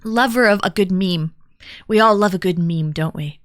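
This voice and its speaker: A woman's voice: mid-range voice, normal speed, no echo, studio quality. A talkative woman streaming live, chatting casually and greeting viewers one by one in an upbeat way. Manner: talkative, calls herself a yapper.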